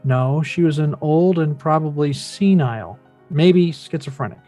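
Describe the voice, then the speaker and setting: An actor in a script table read playing an earnest grown son, restrained and skeptical, questioning with a pointed edge. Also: male voice, a little high, unhurried, mellow, faint music behind, close to an everyday voice.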